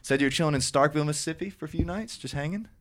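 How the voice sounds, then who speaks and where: Fast-talking, easygoing podcast host guy. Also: on the high side, a bit bright. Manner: upbeat, laid-back slang, laughs often. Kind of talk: casual interview chat.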